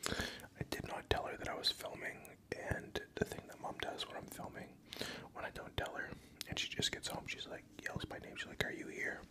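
Profane tipsy whisperer, very breathy. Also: normal speed. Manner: profane, rambling, joking. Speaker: ASMR creator. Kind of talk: whispered ASMR chat. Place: home room. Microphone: studio USB microphone.

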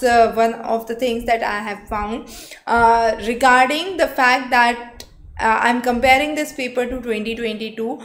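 Young Indian English woman, exam coach, higher pitch, live lecture, roomy echo. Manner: explanatory, frequent "uh" fillers, talks with hands. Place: studio, seated before a screen backdrop.

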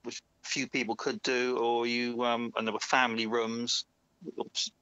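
Caller, bright and forward, easygoing storyteller; dull lo-fi line, dry. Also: male voice, medium pitch, level tone, clean tone, no echo. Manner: easygoing, anecdotal, slow fillers. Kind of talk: casual chat.

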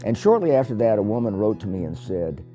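Older man, low voice over guitar. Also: very animated, mellow. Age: 70s. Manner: reflective, unhurried storytelling. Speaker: poet. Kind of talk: documentary interview. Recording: music playing under the voice. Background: guitar music.

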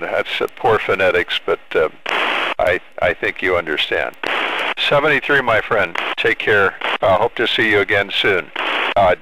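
Low-pitched older American man over noisy radio. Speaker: amateur radio operator, host. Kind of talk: ham radio contact, spelling out call signs. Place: small home radio shack, indoors. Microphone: handheld boom microphone up close. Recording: loud radio static, clicks.

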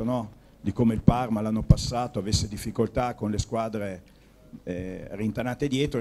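A sports journalist, a man, lays out long analytical questions at a press conference. His voice is low, dark and slightly husky.

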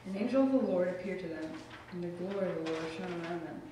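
A man reading a Bible passage aloud at a church lectern in a steady, measured way. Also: high-pitched, background noise. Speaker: scripture reader. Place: church sanctuary. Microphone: lectern microphone, far camera. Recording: echoey church from far off.

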